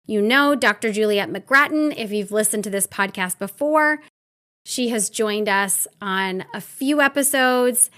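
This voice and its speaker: A woman's voice: on the high side, fairly bright tone. A woman hosting a podcast in an upbeat, encouraging way as she introduces and questions her guests. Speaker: podcast host.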